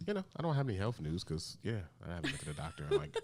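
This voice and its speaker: A man's voice: deep voice, big pitch swings, rapid-fire, a little hiss or hum. A man in his thirties co-hosting a podcast, laid-back and joking, laughing a lot as he chats. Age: 30s. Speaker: podcast co-host. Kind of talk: casual chat.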